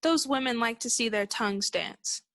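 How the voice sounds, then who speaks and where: Woman as a breezy, scoffing townswoman, higher voice. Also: fairly bright tone, dry recording, clean studio sound. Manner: brisk, scoffing, self-assured. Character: bold, dismissive young townswoman, unafraid and breezy. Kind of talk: 1940s radio play acting.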